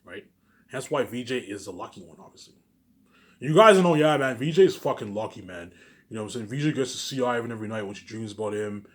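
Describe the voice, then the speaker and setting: Male vlogger, rapid informal delivery, mid-pitched, self-aggrandizing, loops back on himself. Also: lively intonation. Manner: repetitive, circling back over the same phrases. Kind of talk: rambling informal monologue to viewers.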